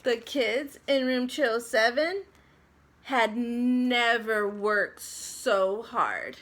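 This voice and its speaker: A woman with a high voice narrates a picture book for children. Her delivery is very slow and deliberate, setting phrases apart expressively. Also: expressive, fairly bright tone. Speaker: storybook reader for children. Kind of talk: expressive scripted story narration.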